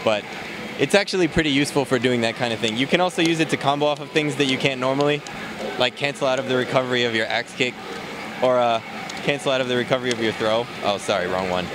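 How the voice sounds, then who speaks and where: A man casually walking through a game character's moves, explaining in a relaxed way with many fillers, recorded at a noisy event. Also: mid-range voice, quick pace. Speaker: game developer demoing a character. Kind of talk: casual gameplay walkthrough. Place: convention floor, noisy.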